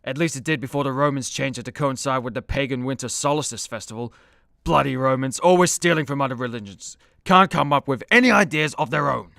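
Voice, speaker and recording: A man's voice: mid-range voice, a little fast, vocal fry, bright, forceful tone, dry recording. Man acting a grumpy hard-drinking ancient demigod. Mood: grumbling. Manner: griping, grudging, dry. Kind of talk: superhero comedy radio play acting.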